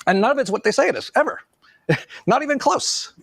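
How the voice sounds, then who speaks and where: High-pitched gravelly man, casual commentator. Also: expressive, brisk, fairly bright tone, no echo, clean studio sound. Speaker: online commentator.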